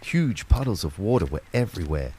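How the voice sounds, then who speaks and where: A man's voice: sits low, big pitch swings, a little fast, darkish tone, breathy edge, exaggerated voice. An actor playing a wry, uneasy young man who narrates his own afterlife in an audio drama, speaking in a hesitant, conversational way. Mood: reflective. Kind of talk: first-person audio drama narration and dialogue.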